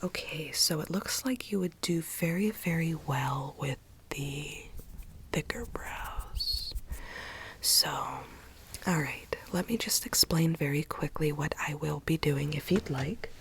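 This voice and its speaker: A woman whispers close to the microphone in an ASMR consultation role-play. Her delivery is breathy, gentle and slow, as if explaining each step to a client. A faint hiss sits behind the low whisper.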